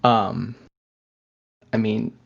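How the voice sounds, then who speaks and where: A man co-hosting a podcast in casual, slightly halting conversation, recorded remotely from home. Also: on the low side, fairly even intonation, gravelly, husky, dull audio. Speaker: podcast co-host.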